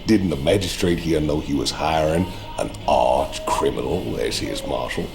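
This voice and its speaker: An actor playing a brash, mocking male mercenary convict in a game cutscene, with a deep gravelly growl and a slow, taunting drawl. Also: music in the background. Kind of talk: video game cutscene acting.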